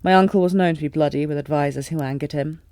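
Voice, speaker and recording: A woman playing a lovesick warrior queen, regal yet fragile, in an intimate fantasy audio drama with formal, emotive, halting delivery. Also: low voice, darkish tone, dry recording. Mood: stern, controlled. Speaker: audio roleplay performer.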